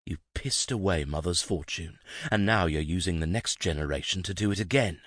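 A man voicing a bitter, sarcastic young nobleman with a husky voice. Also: low voice, moderate pace, fairly bright tone, no echo, studio quality. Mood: scornful. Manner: sarcastic, scornful.

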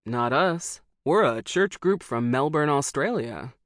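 A narrator voicing a joking American fighter pilot who talks in a lower, slower drawl. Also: animated, dry recording, clean studio sound, close to an everyday voice. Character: wisecracking American male pilot, easygoing. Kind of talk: audiobook character voice.

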